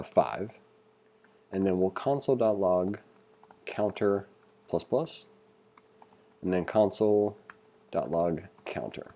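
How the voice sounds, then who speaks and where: A man's voice: sits low, warm tone. A man giving a casual screencast tutorial, reading along and thinking aloud in an informal, conversational way as an instructor.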